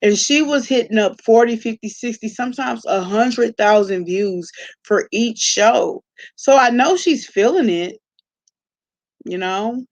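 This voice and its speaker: Higher-voiced woman chatting on a livestream. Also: lively intonation, a little slow. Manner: conversational, informal, slangy. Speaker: live-stream host reacting to online gossip. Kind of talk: casual livestream chat with viewers.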